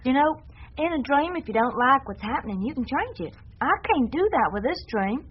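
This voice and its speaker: A woman's voice: high voice, lively intonation, brisk, highs cut off. An actress playing a trusting, stubborn, devout young woman in a radio drama, speaking in a plain, folksy, earnest way. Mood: wondering. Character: trusting, stubborn, devout one-legged young female dreamer.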